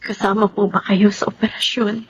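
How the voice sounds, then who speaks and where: An actress with a Filipino accent playing a restrained, pained young wife of a soldier in a radio drama. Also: female voice, medium pitch, little pitch movement, normal speed, husky, muffled recording. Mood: grieving, subdued.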